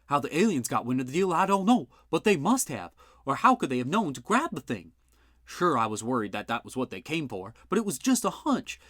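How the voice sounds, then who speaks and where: A man voicing a gruff, blustering older male inventor who talks loud and boastful. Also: on the high side, big pitch swings, brisk, no room sound, studio quality, pitched above the performer's own voice.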